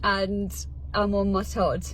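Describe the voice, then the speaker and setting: A woman of thirty with a British accent chats in a casual, confessional vlog style. Her pitch sits around the middle, and there is faint background ambience in the recording. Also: flat delivery, slow pace, fairly bright tone, slight background noise.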